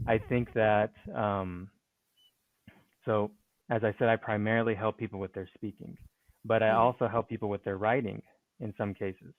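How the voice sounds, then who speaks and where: Male coach guest, low voice, halting with fillers, tinny phone-like sound. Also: dry recording. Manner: hesitant, many fillers and restarts. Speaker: speaking and writing coach, podcast guest. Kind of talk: conversational interview answer.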